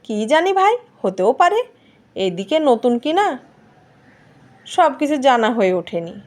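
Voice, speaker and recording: Woman voicing a high, wary city boy. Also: big pitch swings, unhurried. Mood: friendly, wary. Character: bold city boy, wary, easily rattled. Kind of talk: storybook ghost tale character.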